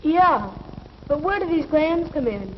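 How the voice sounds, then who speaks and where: A curious, naive schoolboy in an old educational film. The voice is very high and plainly questioning. The sound is muffled with faint noise behind it. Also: big pitch swings, a little slow. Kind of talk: scripted educational film dialogue.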